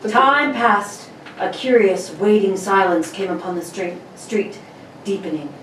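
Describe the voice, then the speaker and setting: A woman's voice: medium pitch, expressive, measured pace, fairly bright tone. A woman narrator reading a radio play script in a dramatic storytelling style. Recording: roomy, distant mic, faint background noise.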